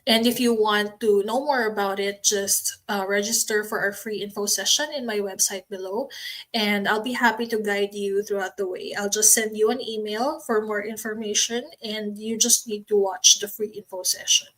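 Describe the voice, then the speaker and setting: A woman in her late thirties with a Filipino accent, talking casually to camera about her online business, unhurried, with many ums and restarts. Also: mid-range voice, fairly even intonation, a bit bright, low-quality recording. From Philippines. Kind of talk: casual promotional talk to camera.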